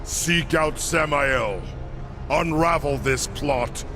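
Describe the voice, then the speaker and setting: An actor playing a stern, cold otherworldly commander in a video game, giving solemn orders. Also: male voice, a little high, slow, over music. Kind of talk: video game cutscene acting.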